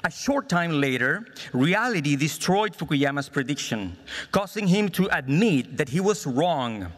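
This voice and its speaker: High-pitched Chilean man speaking in an echoing hall. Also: very animated, unhurried, a bit bright. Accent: Chilean Spanish. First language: Spanish. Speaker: conference speaker at a political summit. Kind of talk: formal prepared political speech read aloud. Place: conference hall in Budapest. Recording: reverberant large hall sound.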